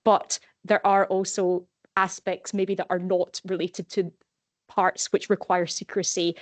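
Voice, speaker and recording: A woman's voice: lowish voice, level tone, unhurried. A woman answering a question in an online seminar, thoughtful and hedging, often restarting her sentences. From Scotland. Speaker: seminar speaker. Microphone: video call.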